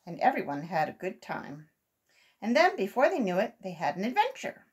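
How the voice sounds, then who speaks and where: Husky older woman reading a storybook. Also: medium pitch, wildly swooping pitch, moderate pace. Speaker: volunteer children's story reader. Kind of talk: expressive storybook read-aloud. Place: small room at home.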